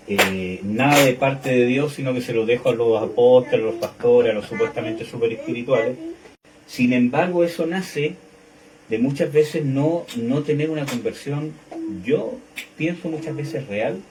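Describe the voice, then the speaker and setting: A man's voice: mid-range voice, normal speed, background noise. A man in a conversational Bible discussion, speaking tentatively and qualifying his own statements. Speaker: discussion participant. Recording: distant mic, people clearly heard around.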